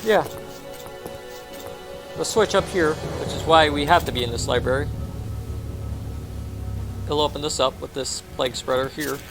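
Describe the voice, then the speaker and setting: A man's voice: fairly high pitch, moderate pace, pauses often. A man giving a casual game walkthrough, narrating his own actions offhandedly in short phrases. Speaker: gameplay commentator. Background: game music.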